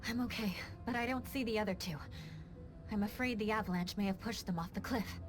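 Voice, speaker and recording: A woman voicing a composed, dutiful young female knight in an anime-style game, measured and resolute. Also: medium pitch, over music, lightly acted. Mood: calm, urgent.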